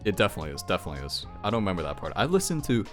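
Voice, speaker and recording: A deep-voiced man reacts to game news in a loose, informal, rambling way. His pitch moves around in an animated fashion. Music plays clearly behind his commentary. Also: rapid-fire.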